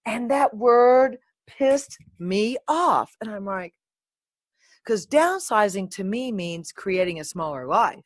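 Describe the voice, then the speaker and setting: A woman hosting her own podcast, talking in a playful, animated and uninhibited way, close to the mic. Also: medium pitch, takes it slowly, no echo. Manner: playful, animated, sings and makes silly sounds; uninhibited, swears. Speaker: podcast host. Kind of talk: solo podcast chat.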